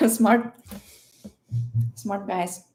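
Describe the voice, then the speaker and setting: A woman's voice: mid-range voice, lively intonation, extremely slow. A woman with a Russian accent, a native Russian speaker, chatting casually and playfully as she answers livestream questions, often searching for words. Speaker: livestream host.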